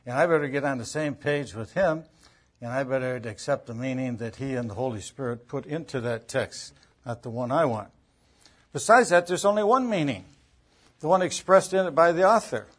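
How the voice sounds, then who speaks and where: A man's voice: mid-range voice, normal speed, breathy edge. A man giving an emphatic Bible lecture, driving points home with repetition and rhetorical questions. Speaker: Bible teacher, preacher. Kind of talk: teaching lecture on biblical interpretation.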